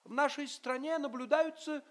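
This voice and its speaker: An actor playing a tiny, proud human traveller among giants, speaking formally and emphatically in a theatrical radio play. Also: male voice, very high-pitched, moderate pace, a bit bright. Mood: earnest, courtly.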